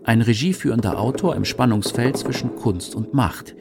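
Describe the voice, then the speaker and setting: A man's voice: medium pitch, moderate pace, vocal fry. A man from Germany, reading scripted documentary narration.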